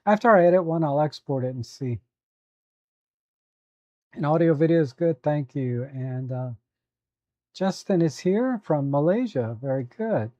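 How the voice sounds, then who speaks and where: A hoarse man hosting a live photo editing tutorial, talking at an easy, halting pace with many fillers while answering viewers. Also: on the high side, lively intonation, darkish tone, no echo, lo-fi sound. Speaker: photo editing livestream host.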